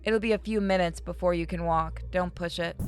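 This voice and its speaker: A woman's voice: on the low side, steady tone, a little fast, soft background music, natural voice. A woman voicing an intense, tormented female captor in a horror visual novel, commanding and clipped.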